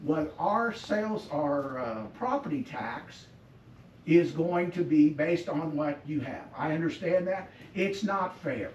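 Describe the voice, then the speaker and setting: An older man with a loud voice speaks at a public school board meeting, slow and emphatic, repeating his points. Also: fairly high pitch, animated, lo-fi sound. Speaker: former school district employee speaking at a board meet and greet. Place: school district office lobby at a public meeting. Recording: roomy echo, distant mic, faint background noise.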